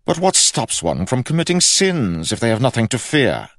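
A man's voice: lowish voice, huge pitch swings, takes it slowly, no room sound, clean studio sound, voice pushed up, full character voice. A man voicing a pompous, pious king in an audiobook, questioning in a scornful, imperious way. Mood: indignant. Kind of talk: audiobook character acting.

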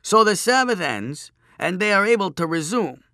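A man doing a droning, pompous chief priest, pitched up. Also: very animated, pushed and bright, dry recording, clean studio sound, exaggerated voice. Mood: pompous testing. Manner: droning, drawn-out, pompous recitation. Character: droning, pedantic male chief priest posing riddles.

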